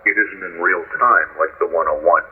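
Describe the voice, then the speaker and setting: A man's voice: low-pitched, moderate pace, husky. A man chatting casually as an amateur radio operator over a shortwave transmission. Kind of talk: casual ham radio chat. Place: over a ham radio link. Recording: noisy, narrow shortwave radio audio. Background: radio static.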